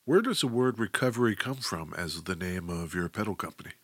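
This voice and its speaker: A man hosts a casual podcast interview. His slightly low voice moves up and down in a lively way, and the sound is dry and close. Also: fairly quick, husky, no echo. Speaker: podcast host.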